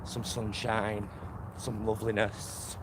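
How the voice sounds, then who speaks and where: A man's voice: on the low side, flat delivery, slow pace. A middle-aged man with a Greater Manchester accent, chatting casually and rambling in a slightly camp way as he walks and films himself. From England. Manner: chatty, rambling, campy endearments. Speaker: vlogger. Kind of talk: casual chat to camera while walking. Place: outdoors, walking along a suburban road. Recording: loud outdoor street and traffic noise.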